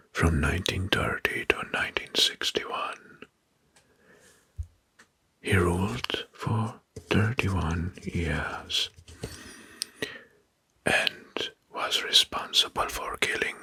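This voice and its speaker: A man narrating history as ASMR in a breathy, hushed whisper, deliberate and gently repetitive. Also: sits low, no echo. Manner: slow, deliberate, often repeating names and phrases softly.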